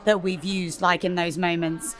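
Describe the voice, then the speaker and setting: A young woman with a slightly husky voice, chatting casually about her theatre show with lots of fillers. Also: fairly low pitch, level tone, moderate pace, a bit bright. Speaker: theatre performer. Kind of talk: casual interview chat. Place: festival venue interview.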